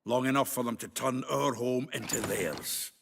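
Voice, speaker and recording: Man as a gravelly, boisterous dwarf warrior. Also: mid-range voice, moderate pace, background noise, lightly acted. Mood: hearty, jovial. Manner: blustering, colourful, hearty. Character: feisty, foul-mouthed, boisterous dwarf warrior, old comrade. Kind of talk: video game voice acting.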